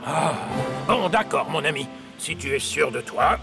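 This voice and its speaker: A friendly, obliging male cartoon character, speaks in a lightly raised, animated voice. Music plays clearly underneath. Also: fairly high pitch, unhurried. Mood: concerned, obliging. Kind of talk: French-dubbed children's cartoon acting.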